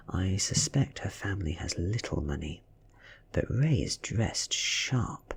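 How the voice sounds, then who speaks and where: A British man reading a story in a soft, hushed, half-whispered ASMR style, soothing and breathy. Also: low voice. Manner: slow, soothing, hushed delivery. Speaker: audiobook reader.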